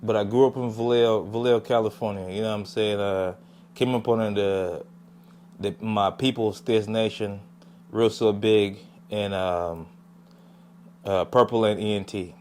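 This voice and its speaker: A man's voice: fairly low pitch, steady tone, measured pace, a little mellow, slight background noise. A young man from Jamaica, a guest on a podcast, talking casually and reflectively, with many fillers and "you know what I'm saying". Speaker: podcast guest, music artist. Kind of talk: casual interview talk.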